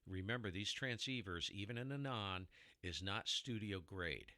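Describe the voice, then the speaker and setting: A man with a very low baritone voice hosting a casual ham radio tutorial, rambling and sidetracking as he explains, recorded in a heavily dampened room. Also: lively intonation, moderate pace, sharp tone, dry recording, studio quality. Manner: rambling, self-interrupting explainer with mic tests. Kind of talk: casual how-to demonstration.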